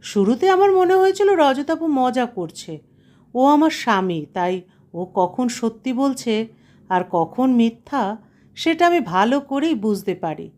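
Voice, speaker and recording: High-voiced woman narrating. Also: very animated, measured pace, a little mellow. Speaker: story narrator. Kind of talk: scripted dramatic first-person story narration.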